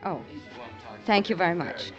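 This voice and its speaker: A woman's voice: lowish voice, very animated, normal speed, soft background music. An actress playing a haughty, aloof lady with icy, formal manners in a 1950s radio western. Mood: cool, dismissive. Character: haughty, aloof young lady hotel guest. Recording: dull vintage radio recording.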